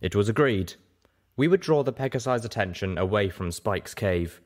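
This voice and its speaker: A man's voice: lowish voice, expressive, normal speed. A man reading a first-person story aloud as scripted narration. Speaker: audiobook reader, show host.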